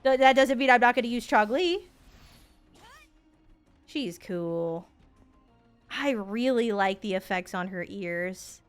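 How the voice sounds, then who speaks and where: A woman's voice: fairly high pitch, animated, takes it slowly, pauses often, clean tone, faint music behind. A woman in her thirties giving casual, playful gaming stream commentary, full of slang and swearing. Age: 30s.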